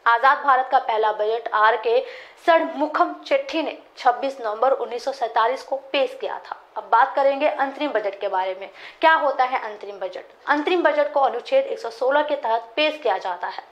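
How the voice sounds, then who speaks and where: High-voiced young woman presenting news. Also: normal speed, dull audio. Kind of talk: scripted news explainer narration.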